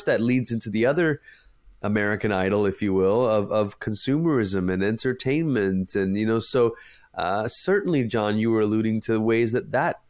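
A man from Malaysia, a pastor, giving a long thoughtful interview answer and pausing to search for words. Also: fairly low pitch, a little mellow.

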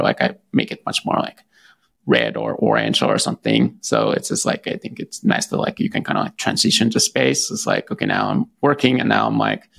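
A man's voice: lowish voice, vocal fry. A Finnish-born man with a Finnish accent, a startup founder answering interview questions casually, hesitant and full of fillers and restarts. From Finland.

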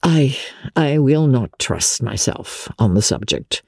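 Woman as a mellow, wounded young gentleman officer. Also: rumbling low, sing-song, gravelly, husky, no echo, studio quality, full character voice. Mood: restrained bitterness. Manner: measured, plaintive, confiding. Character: smooth-talking, wronged young gentleman officer, wounded and genteel.

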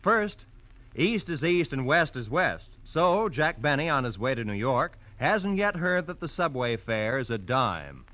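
A man's voice: medium pitch, sing-song, a little slow, bright, forceful tone. A man announcing on 1940s network radio, reading scripted promos in a polished, emphatic way.

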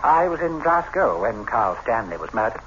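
An actor playing a scheming, insanely vengeful husband in an intense, theatrical 1960s radio drama style. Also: male voice, medium pitch, very animated, moderate pace, a bit bright, muffled recording. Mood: defiant, insistent. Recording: noisy, band-limited old radio recording.

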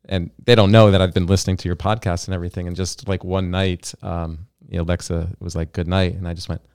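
A man's voice: deep voice, flat delivery, fast talker, a little mellow, clear, resonant tone, dry recording, studio quality. A middle-aged man hosting a podcast in a friendly, playful way, laughing often. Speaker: podcast host. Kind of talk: casual interview chat.

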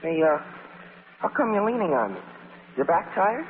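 Casting: shy young beau, high and very swoopy voice, hesitant stammer, vintage radio play. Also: male voice, big pitch swings, normal speed, phone-quality sound. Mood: bashful. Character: bashful, awkward young suitor, earnest and tongue-tied. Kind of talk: 1940s radio acting. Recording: echoey, noisy, band-limited old radio broadcast.

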